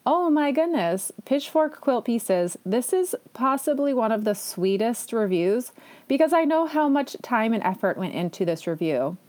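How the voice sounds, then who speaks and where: A woman's voice: on the high side, animated, normal speed, darkish tone. Chatty, enthusiastic woman podcast host. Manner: chatty and enthusiastic, self-deprecating asides. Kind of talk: casual solo podcast talk.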